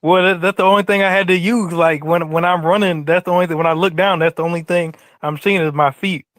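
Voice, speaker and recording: A man's voice: sits high, steady tone, quick pace, a bit bright, no echo. A man calling in to a livestream, taunting the host and giving evasive answers. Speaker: caller. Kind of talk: trolling phone-in.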